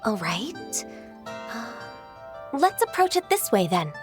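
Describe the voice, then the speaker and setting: A woman voicing a calm, diligent young secretary who speaks in a composed, polite way in a game. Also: on the high side, slow pace. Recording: game cutscene with background music.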